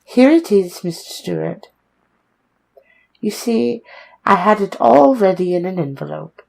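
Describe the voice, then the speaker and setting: Woman acting timid young woman, creaky husky voice. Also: mid-range voice, animated, unhurried, a little mellow, full character voice, poor audio. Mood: timid, apologetic. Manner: meek, hesitant, deferential. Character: timid, polite, apologetic young woman starting a new job. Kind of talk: old-time radio suspense drama acting.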